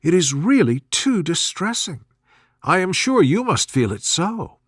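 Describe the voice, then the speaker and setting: A man voicing a gentle, tender-hearted young gentlewoman in a storybook, speaking in an earnest, pitying, formal way. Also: sits high, sing-song, a little slow, no echo, clean studio sound, voice pushed up, heavily acted. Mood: shocked, pitying. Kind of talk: storybook character reading.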